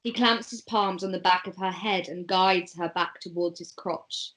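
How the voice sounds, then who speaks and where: A woman's voice: lowish voice, steady tone, normal speed, fairly bright tone, low-quality recording. A woman narrating a table read, reading stage directions aloud and at times stumbling over words.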